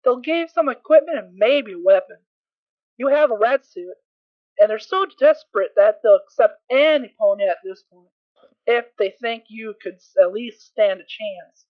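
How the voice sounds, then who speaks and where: A woman's voice: on the high side, lively intonation, normal speed, no echo, natural voice. A woman playing a concerned, caring unicorn mare in an amateur audio drama, earnest and hesitant as she explains. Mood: worried, resigned. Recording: tinny, band-limited dry home recording.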